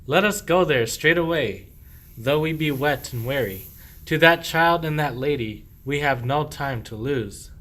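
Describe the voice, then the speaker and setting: A man's voice: fairly high pitch, lively intonation, a little slow, a bit bright. A man playing a humble, devout medieval shepherd in a radio play, declaiming rhymed verse. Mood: awed.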